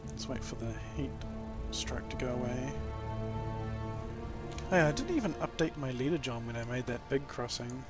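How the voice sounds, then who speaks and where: A man from New Zealand with a New Zealand accent, giving relaxed, rambling gameplay commentary full of ums, his sentences often rising at the end. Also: medium pitch, normal speed. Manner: sentences ending with an upward inflection. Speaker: gaming YouTuber. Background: epic game soundtrack music.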